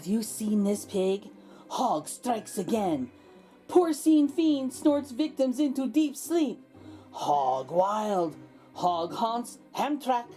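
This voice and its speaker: A woman's voice: fairly high pitch, sing-song, slow, warm tone, soft background music. A woman narrating a children's picture book aloud as host, with theatrical, suspenseful emphasis. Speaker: storybook reader, host.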